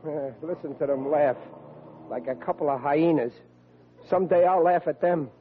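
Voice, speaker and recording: A man's voice: fairly high pitch, normal speed, close to an everyday voice. An actor playing an earnest, dim-witted young man with a naive manner in an old-time radio comedy. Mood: glum. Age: young adult. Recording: tinny vintage radio recording with hum.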